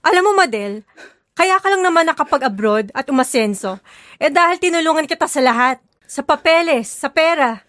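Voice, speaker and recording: A woman's voice: high-pitched, lively intonation, normal speed, a bit bright, dry recording, studio quality. A woman playing a possessive, scornful rival in a melodramatic audio drama. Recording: voice over dramatic music.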